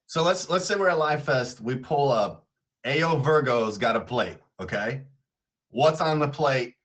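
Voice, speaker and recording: A man's voice: medium pitch, lively intonation, unhurried, a bit bright. A man hosting a weekly app update show, upbeat and chatty, laughing often. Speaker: show host. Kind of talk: livestream announcement show hosting.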